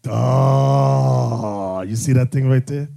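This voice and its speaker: A man's voice: medium pitch, drawn-out words, vocal fry, mellow. A man teaching a congregation as bishop, preaching with call-and-response questions and sudden emphatic bursts. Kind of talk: sermon-style teaching.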